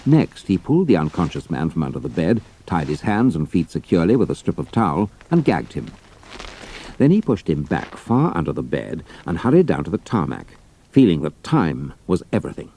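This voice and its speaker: A man's voice: low voice, big pitch swings, fairly quick, mellow, a little hiss or hum. A British man reading scripted narration for an adventure story.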